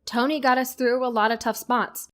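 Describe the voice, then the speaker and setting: Woman playing a teasing young wife, bit high pitched, wry and kinda bossy, chatty radio play. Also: fairly even intonation, moderate pace, a bit bright, natural voice. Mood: wry, fond. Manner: teasing, conversational. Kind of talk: audio drama acting.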